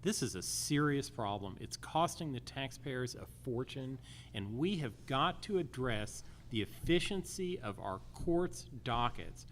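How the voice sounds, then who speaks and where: A man's voice: mid-range voice, lively intonation, unhurried. A man speaking as a judicial candidate at a forum, making emphatic, repetitive points in lists. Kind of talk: campaign forum answers, public speaking. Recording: live forum with faint background noise.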